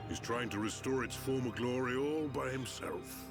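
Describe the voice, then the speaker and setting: An actor playing an old male Machina elder who speaks slowly and solemnly, in a game cutscene. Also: medium pitch, over music, close to an everyday voice. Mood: grave. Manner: slow, solemn, wide-ranging delivery. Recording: game cutscene with loud score.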